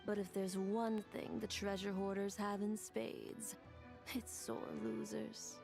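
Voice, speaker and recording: An actress playing a composed, protective member of a secretive order in a video game, deliberate and serious. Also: female voice, mid-range voice, flat delivery, gravelly, a little mellow, soft background music. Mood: serious, cautioning. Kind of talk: English video game voice acting.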